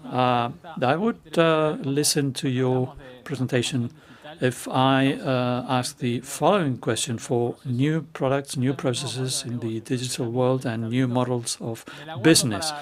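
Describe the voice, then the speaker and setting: A man moderating a panel discussion, introducing the next speaker with many hesitations. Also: medium pitch.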